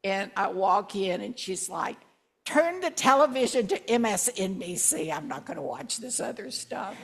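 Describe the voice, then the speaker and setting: A woman in her sixties, a minister reminiscing to her congregation with gentle humor in a church. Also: mid-range voice, animated. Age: 60s. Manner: slow, warm-humored reminiscing with asides. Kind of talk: reflective sermon with congregation sharing. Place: church sanctuary.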